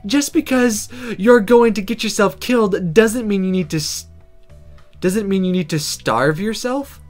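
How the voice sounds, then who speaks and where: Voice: mid-range voice, unhurried, a little mellow, soft background music, pitched above the performer's own voice, close to an everyday voice. A game player voicing a brash, bossy teenage schoolgirl in a visual novel, with breezy, cheeky teasing.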